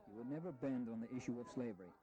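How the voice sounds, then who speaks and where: An actor playing an urbane, intellectual American diplomat in a historical audio drama, articulate, measured and gently teasing. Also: male voice, mid-range voice, dull audio, close to an everyday voice. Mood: emphatic.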